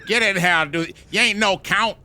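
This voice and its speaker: A man improvising as an aging funk bass icon, drawling and playful, with a faint hum in the background. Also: normal speed, bright, forceful tone. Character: funky aged male funk bass icon. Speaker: guest character. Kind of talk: improvised comedy podcast.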